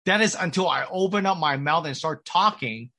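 Man with an American accent, pastor, slightly high voice, measured preaching, restarts. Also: pushed and bright. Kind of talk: sermon.

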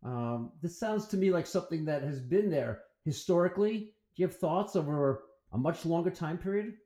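Host: man, mellow and fairly high, deliberate questioner, echoey room sound. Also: lively intonation. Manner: measured, deliberate questioning with ums. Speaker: interviewer host. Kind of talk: podcast interview questions. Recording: roomy echo.

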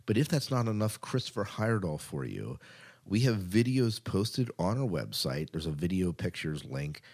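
A man with an American accent and a notably low voice hosts a podcast. He talks informally and chattily, sometimes doubling back to repeat a phrase. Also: big pitch swings, moderate pace, a little mellow, breathy edge. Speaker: podcast host. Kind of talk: casual podcast chat and show rundown.

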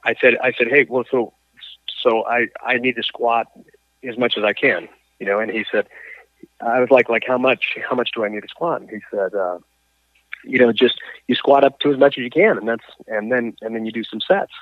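A man of average pitch talking as a guest over a tinny, phone-like line. He tells his story in a halting way, restarting sentences and filling pauses with "uh". The sound is dry with no room. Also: fairly even intonation, no echo. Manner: halting, many restarts and fillers. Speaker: guest, coach and athlete. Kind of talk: conversational storytelling in an interview. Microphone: phone or call line.

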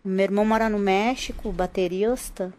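A woman's voice: mid-range voice, fairly even intonation, moderate pace, darkish tone. A woman from Rio de Janeiro, a podcast guest, talking casually with many restarts and laughs. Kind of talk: casual chat.

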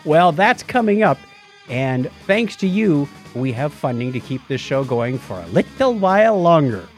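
A man's voice: a little high, sing-song, moderate pace, soft background music. A man co-hosting a podcast in a playful, informal way, moving between casual chat and read-out items. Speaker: podcast co-host.

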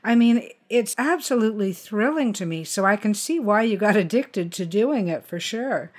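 A woman's voice: medium pitch, animated, normal speed. A woman hosting a conversational interview in an effusive, affirming way. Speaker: podcast host interviewing a writer.

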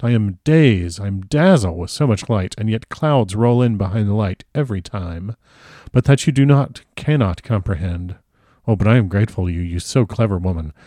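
Guy doing an earnest old professor from abroad, storybook style, courtly and gushing, lowish dry voice. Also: normal speed, warm tone, dry recording, close to an everyday voice. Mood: grateful, solemn. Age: older. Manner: formal, effusive, foreign-styled broken phrasing.

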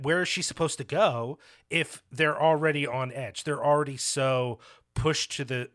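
A man co-hosting a casual film discussion, animated and swearing, acting out quotes in mocking voices. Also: fairly high pitch. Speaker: film podcast co-host.

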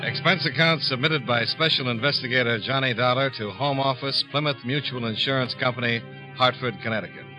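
An American actor playing a wry, businesslike insurance investigator in a 1950s radio drama, speaking in a clipped, dry, casual way. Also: male voice, medium pitch, brisk, over music, lightly acted, poor audio. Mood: matter-of-fact. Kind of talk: 1950s radio detective acting, first-person narration.

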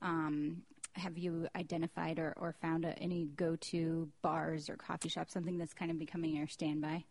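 A woman's voice: low voice, level tone, moderate pace, darkish tone. A woman with an American accent hosting a news and culture podcast in a casual, chatty style. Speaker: podcast host.

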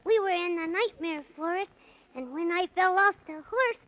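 A woman's voice: moderate pace, dull audio. A woman playing an earnest, wide-eyed six-year-old boy with a childlike voice in a 1930s children's radio serial. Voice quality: high, childlike.

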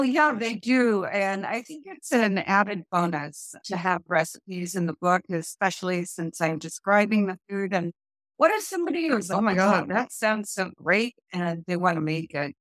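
A woman's voice: lowish voice, fairly bright tone, no echo. A woman in her fifties from the United States, telling personal stories as an author being interviewed. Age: 50s. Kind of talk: conversational storytelling interview.

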